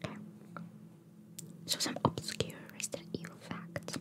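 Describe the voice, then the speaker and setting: A woman whispering very close to the microphone as an ASMR host, reading trivia aloud with casual, rambling asides and softly repeated phrases. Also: unhurried. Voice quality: breathy unvoiced whisper. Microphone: very close microphone, cupped by hand.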